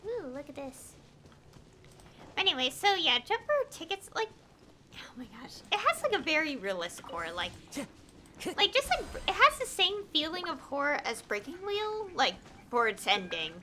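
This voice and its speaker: High, animated, bright-voiced woman streamer. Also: a little hiss or hum. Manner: rambling, retells stories in halting fragments. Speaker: game streamer. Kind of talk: casual livestream chat.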